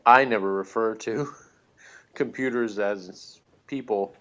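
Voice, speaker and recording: A man's voice: on the low side, slow pace, a bit bright, lo-fi sound. A man in casual, rambling chat as co-host of a review show, with a wry manner. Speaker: podcast co-host reviewing TV episodes.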